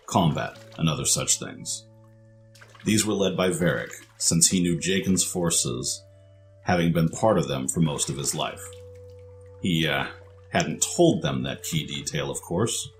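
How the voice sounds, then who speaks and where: A man's voice: very deep voice, slight background noise. A man narrating a scripted fantasy story over music.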